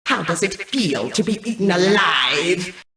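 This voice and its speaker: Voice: medium pitch, expressive, unhurried, sharp tone. A performer voicing a cold, menacing mainframe computer in a video game, declaiming threats through synthetic processing. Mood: cold menace. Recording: echoing, distant announcement.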